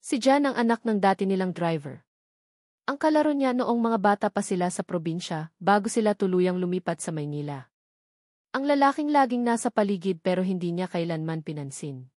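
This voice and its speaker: A woman's voice: medium pitch, animated, normal speed, a little mellow, no room sound. Dramatic woman audiobook narrator, dry sound. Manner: dramatic, emphatic phrasing. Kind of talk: scripted dramatic story narration.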